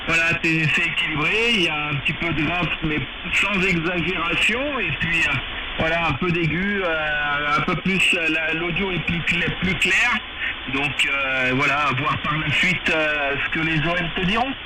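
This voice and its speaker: A man chatting casually as an amateur radio operator, giving hesitant, measured feedback through a two-way radio. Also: a little high. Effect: two-way radio transmission. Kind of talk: casual radio contact chat. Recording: very noisy, hissy radio transmission.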